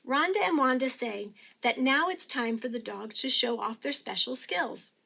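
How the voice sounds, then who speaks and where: A woman reads a children's story aloud in a high voice. Her delivery is expressive and teacherly, phrased carefully for young listeners. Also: normal speed, creaky, slightly rough. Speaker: storybook reader.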